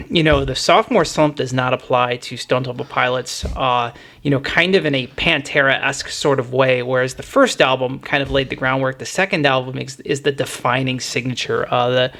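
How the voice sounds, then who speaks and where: A man's voice: medium pitch, creaky, a bit bright. Man hosting a casual music discussion. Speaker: music podcast host.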